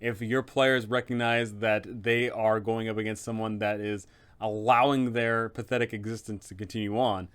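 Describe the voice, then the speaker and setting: Smooth-voiced man explaining tabletop villains. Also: lowish voice, little pitch movement, normal speed, fairly bright tone. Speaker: host of a tabletop game master's guide. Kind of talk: enthusiastic explainer narration.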